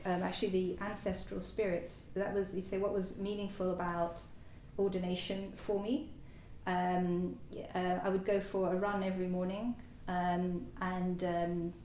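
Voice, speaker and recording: Halting woman in an echoey hall. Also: mid-range voice, a little mellow. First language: French. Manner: hesitant, halting, many fillers and restarts. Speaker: new Buddhist order member. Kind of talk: personal reflective talk. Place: meditation centre hall. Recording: echoey hall, distant mic, faint noise.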